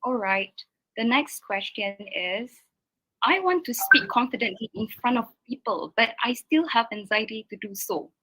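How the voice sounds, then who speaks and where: A woman moderating a talk, reading out audience questions to the speaker in a measured way. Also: fairly high pitch, sharp tone, slightly rough, poor audio. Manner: reads questions aloud in a measured way.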